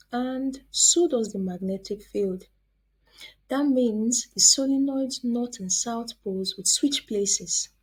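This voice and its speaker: Woman science teacher, higher voice with a slightly husky edge, deliberate scripted explainer delivery. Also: darkish tone, poor audio. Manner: deliberate, explanatory, poses questions then answers them. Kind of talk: scripted educational narration.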